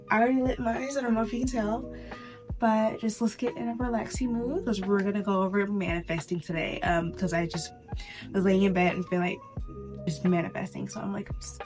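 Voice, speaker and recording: A woman with a stuffy, congested nose hosting a casual, chatty spiritual tutorial, informal and halting with pauses for breath. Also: fairly high pitch. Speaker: host of a spirituality channel.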